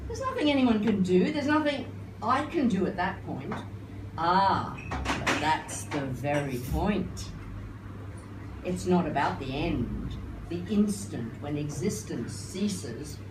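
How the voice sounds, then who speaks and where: An older woman reciting poetry live, with theatrical, wry and deliberate phrasing. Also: mid-range voice. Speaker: performance poet and singer. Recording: roomy, noisy live recording, distant mic.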